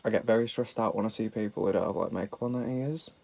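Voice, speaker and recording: A man's voice: on the low side, quick pace, vocal fry, dark tone. Young British man, nasal from a cold, camp and sweary, self-deprecating vlog chat. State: slightly nasal from a sniffle. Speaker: makeup vlogger. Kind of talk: casual makeup tutorial chat.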